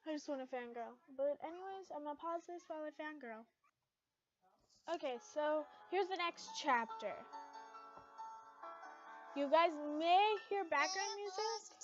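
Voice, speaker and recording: A young woman reading fan fiction aloud in an informal, excitable way, stumbling over words and dropping into casual asides. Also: sits high. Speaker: amateur fan fiction reader. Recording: muffled lo-fi home recording.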